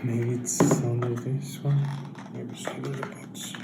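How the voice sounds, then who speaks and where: A man presenting a comic unboxing video in a casual vlog style, with a halting, understated delivery and drawn-out phrases. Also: mid-range voice, little pitch movement, creaky, over loud music. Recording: roomy echo, loud background noise.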